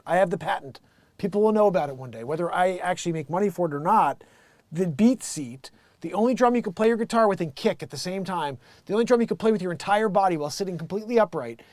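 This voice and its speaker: A man hosting a casual live stream, rambling to his viewers in an animated, boastful and emphatic way. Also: high voice, dry recording. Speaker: live-stream entertainer and host.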